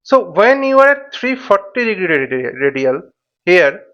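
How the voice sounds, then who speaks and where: A man giving an explanatory tutorial as an instructor, thinking aloud in a halting, repetitive way and often checking in with short tags. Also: sits high, very animated, muffled recording. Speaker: flight simulation instructor.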